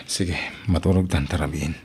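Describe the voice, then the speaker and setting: A man's voice: very deep voice, steady tone, moderate pace, dark tone, breathy edge, full character voice. A man with an Ilocano accent acting an angry, hurt husband in a radio drama. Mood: wary, cold.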